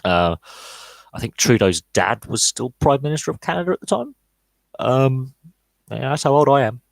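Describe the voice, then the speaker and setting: A man's voice: medium pitch, big pitch swings, normal speed, vocal fry, no room sound. A man in his thirties hosting a live podcast Q&A, talking casually with many fillers and restarts. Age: 30s. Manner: rambling, frequent fillers and restarts. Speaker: podcast host.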